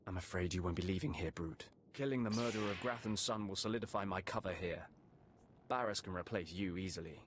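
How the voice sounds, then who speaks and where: An actor playing a scornful, condescending spy in a video game, self-satisfied and quietly threatening. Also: male voice, low-pitched, fairly quick, noisy background, close to an everyday voice. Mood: smug menace. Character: smug, scornful double-crossing male spy.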